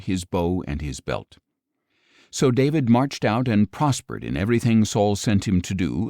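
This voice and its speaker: Male scripture narrator, lowish voice, very lively intonation, measured storytelling, dry close studio sound. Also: dry recording. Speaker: audiobook narrator.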